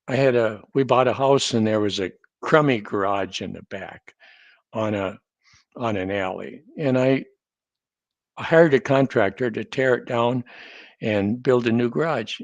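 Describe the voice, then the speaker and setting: A man in his seventies from North Dakota, telling stories as a guest in a casual conversation, measured with a dry humor and frequent restarts. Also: medium pitch, dry recording. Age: 70s.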